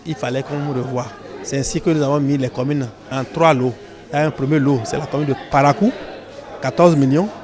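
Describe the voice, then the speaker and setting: A man's voice: medium pitch, fairly even intonation, brisk. A man giving an explanatory interview answer as an official. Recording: busy outdoor crowd noise.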